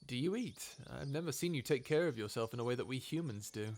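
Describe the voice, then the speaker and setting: A man's voice: medium pitch, animated, fast talker, darkish tone, natural voice. A man playing a sarcastic, easily exasperated human scientist in an informal, conversational audio roleplay drama. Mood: casual, curious. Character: wry, grumbling human scientist, reluctant companion of a robot.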